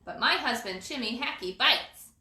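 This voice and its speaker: Woman as a bright, fussy chipmunk wife. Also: a little high, lively intonation, normal speed, exaggerated voice. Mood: fretful. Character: female chipmunk wife, fussy, aggrieved, chatty. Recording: roomy echo.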